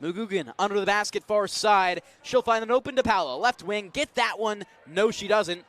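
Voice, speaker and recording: A young man with a high voice works as a radio play-by-play announcer at a live basketball game. He follows the action in an energetic, descriptive style from inside a college arena. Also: normal speed. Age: young adult.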